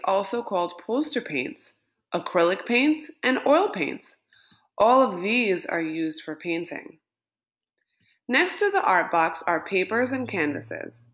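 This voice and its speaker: A woman reads a children's picture book aloud at a gentle, unhurried pace. Her voice sits in a middle register but swoops up on each listed item, giving a lively, sing-song read. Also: mid-range voice. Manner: slow, lilting and expressive, lifting words in lists. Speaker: storybook reader.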